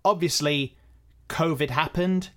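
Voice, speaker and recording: A man giving a measured, conversational behind-the-scenes explanation as a podcast director and editor. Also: a little high, a bit bright.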